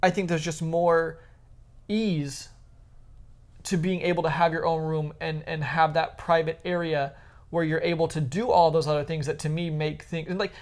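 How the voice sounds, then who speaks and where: A woman's voice: sits low, fairly even intonation, normal speed, a little mellow. Emphatic woman podcast co-host. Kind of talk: casual opinionated podcast chat.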